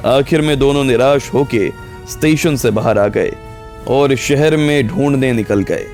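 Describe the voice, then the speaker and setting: Mid-pitched man narrating an audio story. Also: unhurried, a little mellow. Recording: music bed under the voice.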